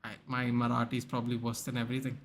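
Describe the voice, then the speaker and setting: A man's voice: mid-range voice, completely flat delivery, very fast, a little hiss or hum. Chatty young Indian man on a livestream. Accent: Indian English. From Goa, India. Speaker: livestream host. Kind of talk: casual livestream chat reading out viewer comments.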